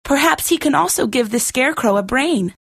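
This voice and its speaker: A bold young storybook girl, high and lively in pitch. She is kind but forthright, quick to scold. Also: vocal fry, slightly rough, no echo, clean studio sound, exaggerated voice. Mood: earnest. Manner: forthright, earnest.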